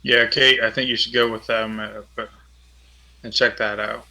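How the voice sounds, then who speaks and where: Very bright, forceful-voiced man chatting. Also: mid-range voice, level tone, normal speed. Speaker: tabletop game player. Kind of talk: casual table chat.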